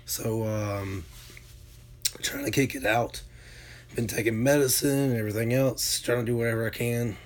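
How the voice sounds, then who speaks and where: A hoarse man from Alabama, casually chatting with viewers on his livestream and answering their comments, with lots of ums and uhs. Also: medium pitch. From Alabama, USA. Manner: relaxed, drawn-out with many fillers. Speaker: livestream host.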